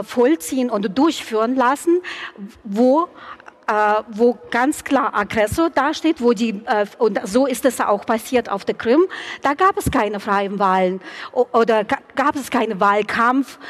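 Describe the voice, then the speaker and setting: Earnest woman, halting speech. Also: a little high. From Ukraine. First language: Ukrainian and Russian. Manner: earnest, halting, many fillers and restarts. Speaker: debate panelist. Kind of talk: live panel debate. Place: church before an audience.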